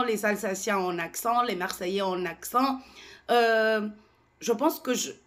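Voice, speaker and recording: Slow, indignant Tunisian woman. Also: on the high side. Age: 40s. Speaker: French teacher. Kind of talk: ranting to camera.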